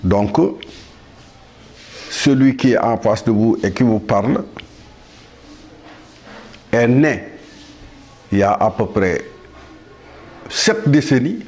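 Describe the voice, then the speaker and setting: Slow, measured older Senegalese-born man. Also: medium pitch, lively intonation, a little mellow. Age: 70s. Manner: measured, halting, with pauses and restarts. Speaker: speaker addressing the world. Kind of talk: slow solemn monologue.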